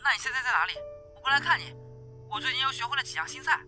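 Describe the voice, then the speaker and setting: A woman's voice: sits high, very fast, pushed and bright, faint music behind, heavily acted. A woman acting a young, caring, easily indignant friend in an audio drama, chatty and emphatic. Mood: concerned, chatty.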